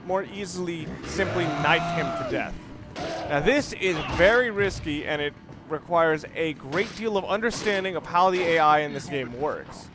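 A man giving casual, rambling video game commentary, explaining his strategy as he plays and swearing mildly. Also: high-pitched. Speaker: gamer, let's play host. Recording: noisy, game ambience behind voice. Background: video game sounds.

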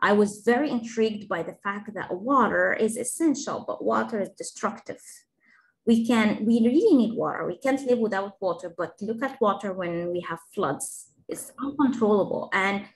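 A woman's voice: medium pitch, lively intonation, normal speed, low-quality recording. A woman from Egypt, an engineering professor, giving a webinar talk and answering questions in an earnest, explanatory way, with fillers and restarts. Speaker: engineering professor, guest speaker.

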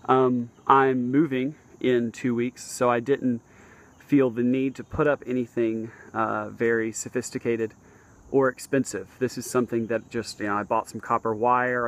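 Male hobbyist vlogger, mid-pitched, hesitant and unassuming, explaining informally into a handheld camera outside. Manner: hesitant, halting, modest. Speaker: amateur radio hobbyist showing his antenna. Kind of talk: casual unscripted explanation to viewers. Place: outdoors on a house roof among trees.

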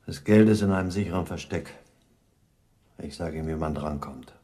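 A man's voice: low-pitched, normal speed, mellow, lightly acted. An actor playing a cornered career criminal bargaining for his freedom in a radio crime drama.

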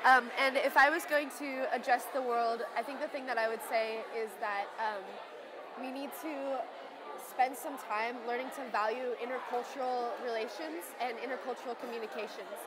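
A woman's voice: a little high, steady tone, normal speed, over a noisy crowd, heavy background noise. A young woman from the United States speaking thoughtfully to camera indoors, with some fillers. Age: young adult. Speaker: interviewee. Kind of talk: casual speech to camera. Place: busy indoor dining room. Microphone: earbud headset mic.

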